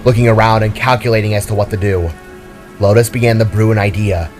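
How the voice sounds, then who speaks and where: A man's voice: lowish voice, steady tone, fast. Man narrating dramatic fantasy over music. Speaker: story narrator.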